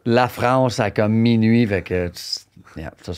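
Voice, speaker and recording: A low-voiced man with a Québécois accent leads a relaxed conversation. His speech is loose and slangy, and he often drops English words into his French. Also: slow pace, clean tone. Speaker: podcast host. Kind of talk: casual interview chat.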